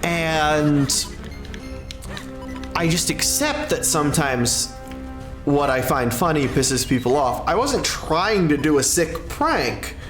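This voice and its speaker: Male streamer, mid-range voice, unhurried and rambling delivery, roomy echo, music underneath. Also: a little high, animated. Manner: rambling, halting, with drawn-out pauses and self-corrections. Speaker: livestreamer and content creator. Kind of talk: casual livestream chat, answering a viewer's question.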